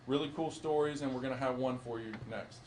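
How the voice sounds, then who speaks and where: Male police chief at a press briefing, mid-pitched, measured delivery with restarts, faint noise behind. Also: fairly even intonation, darkish tone, low-quality recording. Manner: earnest, measured, with frequent restarts and fillers.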